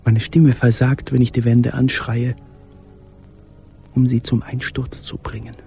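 A despairing, yearning man speaks a radio monologue in a dark, creaky voice. His phrasing is measured and literary, full of anguish, over faint music. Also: medium pitch, level tone. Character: despairing man in hiding, yearning for a lost companion.

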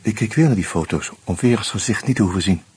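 An actor playing a reflective, wry man who tells his story in a radio play, in a musing, halting, conversational way. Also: lowish voice, lively intonation, darkish tone, slight background noise, natural voice. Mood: hesitant, searching. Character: reflective male friend telling his story, wry, hesitant. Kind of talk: intimate first-person radio drama narration and dialogue.

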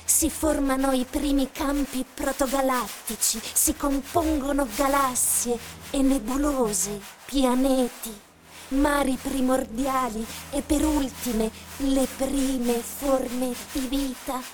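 An actress playing a solemn narrator of cosmic time, reading slowly and deliberately in a radio theatre style. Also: female voice, high voice, steady tone, low-quality recording. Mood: solemn, grave. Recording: clear background noise.

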